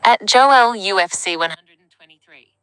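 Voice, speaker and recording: A woman's synthetic voice reading out live chat messages in a flat, even way. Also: medium pitch, moderate pace, smooth, poor audio. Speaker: text-to-speech chat reader.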